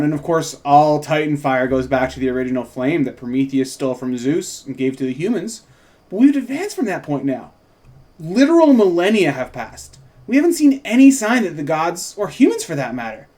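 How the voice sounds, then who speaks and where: Skeptical teen boy, read by a man, fantasy table read, high-leaning voice, emphatic and exasperated. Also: big pitch swings, brisk, darkish tone. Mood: dismissive. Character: upset, skeptical teenage boy in a fantasy script.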